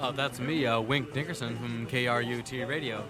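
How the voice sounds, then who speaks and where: A man's voice: medium pitch, fairly even intonation, moderate pace, lightly acted. A man playing a corny, eager-to-please AM radio disc jockey in a live comedy sketch. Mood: polite, eager. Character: cheesy upbeat AM radio disc jockey named Wink. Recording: noisy live comedy recording with crowd.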